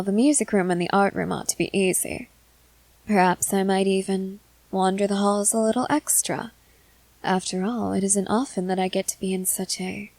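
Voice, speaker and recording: A woman plays a haughty, self-possessed schoolgirl in an ASMR roleplay. She speaks formally and deliberately at a medium pitch, with a sardonic edge. The sound is dry and close, with no room echo. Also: gravelly, darkish tone. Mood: calm, pleased. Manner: formal, articulate, measured speech with deliberate pauses. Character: composed, haughty junior high schoolgirl, amused and sardonic. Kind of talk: scripted ASMR roleplay monologue.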